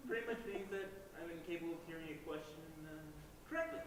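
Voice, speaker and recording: A man's voice: a little high, fast, natural voice. A young man playing an awkward, oblivious college applicant in a live stage comedy, earnest and hesitant. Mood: earnest, defensive. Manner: earnest, rambling non sequiturs, hesitant. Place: school stage, distant microphone. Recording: echoey hall, distant mic, noisy.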